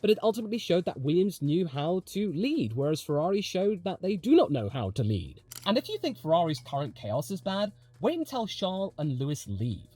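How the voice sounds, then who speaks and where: A man's voice: sits high, sing-song, brisk, hardly any pauses, mellow. A man presenting opinionated motorsport commentary in a part-scripted, conversational video essay style, with emphatic delivery and rhetorical asides.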